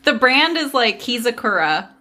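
A woman's voice: fairly high pitch, very animated, moderate pace, bright, forceful tone. A woman hosting a casual podcast, chatting breezily with friends and leading into a folk tale. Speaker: podcast host. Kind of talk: casual storytelling chat with friends.

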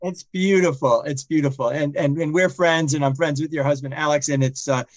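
A man's voice: on the high side, steady tone, fairly quick, dry recording. A man hosting a podcast interview, repeating words as he starts his questions and remarks. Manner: warm, stammering repeats at phrase starts. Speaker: podcast host. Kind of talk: casual interview conversation.

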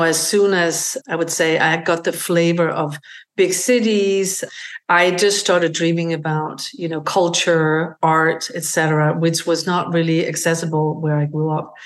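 A middle-aged woman tells her story as a podcast guest. Her voice sits a little low, and she talks in a gentle, reflective way, pausing as she looks for the right word. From Denmark. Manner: reflective, gentle, searching for words. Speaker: guest, beauty brand founder. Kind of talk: interview conversation.

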